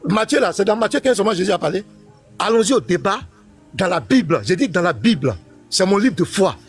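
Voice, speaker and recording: A man's voice: high voice, animated, fast talker, slightly rough, faint music behind. A man, a pastor, arguing insistently in a heated live-stream debate, cutting in and repeating himself.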